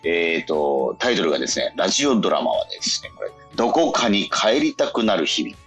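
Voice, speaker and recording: A man hosting a casual radio talk show in a halting way, often repeating his short responses. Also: sits low, sing-song, fairly bright tone, breathy edge. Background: faint music.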